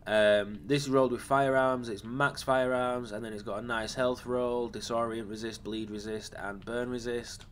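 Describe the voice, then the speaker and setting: A man hosting a gaming channel, casually talking through his game build. His voice is slightly raspy from a lingering cough, and he rambles, with frequent ums and self-corrections. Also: medium pitch, steady tone, normal speed, few pauses, a bit bright, slight background noise.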